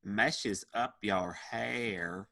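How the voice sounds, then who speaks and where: A man's voice: on the low side, drawn-out words, vocal fry, pushed and bright, full character voice. A man reading the part of a cocky, self-satisfied band member in a table read, with a dry manner.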